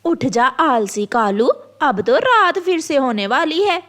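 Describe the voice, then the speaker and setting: Woman acting cartoon female crow, bossy vegetable seller, high voice, very animated, scolding. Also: takes it slowly, heavily acted. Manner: scolding, domineering.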